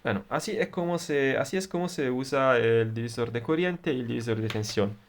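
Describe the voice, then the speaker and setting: A man with an Italian accent, a native Italian speaker, explaining electronics in a deliberate, halting way with frequent fillers. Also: medium pitch, a little hiss or hum. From Italy. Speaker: electronics tutor. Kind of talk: explaining circuits at a whiteboard.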